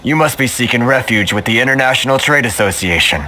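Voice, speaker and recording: A man playing a courteous, world-weary merchant in a video game, with measured speech. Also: medium pitch, lively intonation, bright, forceful tone, a little hiss or hum. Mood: welcoming. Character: weary, courteous male merchant, head of a trade association. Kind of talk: video game dialogue acting. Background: game music.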